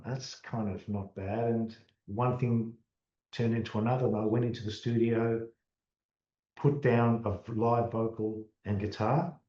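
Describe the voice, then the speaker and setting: An Australian male musician talking casually in an interview, relaxed and often restarting his words. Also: medium pitch. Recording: distant, dull, roomy sound.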